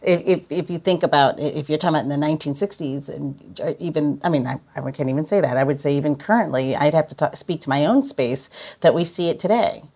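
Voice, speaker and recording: A woman's voice: low voice, quick pace, few pauses, a little mellow. A woman hosting a podcast interview, chatting casually and often restarting her sentences. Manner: hesitant, many restarts. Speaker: podcast host.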